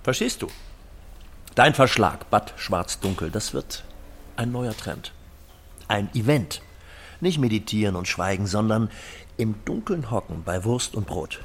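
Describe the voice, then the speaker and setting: Male, excitable scheming businessman: theatrical, effusive, widely swinging intonation, audio drama, faint noise behind. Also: fairly low pitch, unhurried, pauses often. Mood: enthusiastic scheming. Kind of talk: German audio drama acting.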